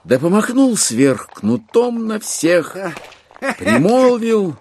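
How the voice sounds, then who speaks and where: A man narrating a literary audio play in a theatrical, rhetorical and expressive manner. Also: high voice, slow pace, slight background noise.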